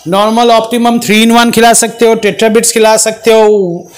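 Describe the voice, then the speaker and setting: A man's voice: sits high, level tone, moderate pace. A middle-aged man who runs a fish farm, explaining his fish to a visitor in a chatty way and walking through examples, in an indoor room full of tanks, speaking into a handheld mic.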